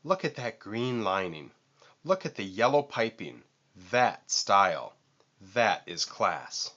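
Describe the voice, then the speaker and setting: A man voicing a vain, boastful storybook vulture with a squawky, screechy voice and theatrical, drawn-out emphasis. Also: on the low side, sing-song, pushed and bright, highs cut off, full character voice. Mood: indignant.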